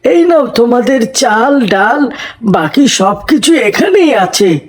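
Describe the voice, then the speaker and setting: An actor voicing a kindly, honest, pious male grain shopkeeper in a cartoon, gentle and drawn-out in delivery. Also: mid-range voice, very animated. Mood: friendly, welcoming. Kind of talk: cartoon voice acting.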